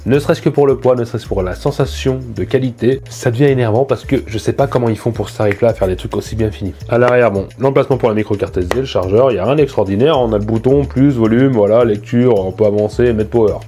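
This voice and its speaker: Male tech vlogger, stuffy from a cold, medium pitch, quick self-mocking chatter. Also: dark tone. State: congested from a head cold. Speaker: tech reviewer. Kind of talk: casual unboxing review. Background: faint music from the speaker being tested.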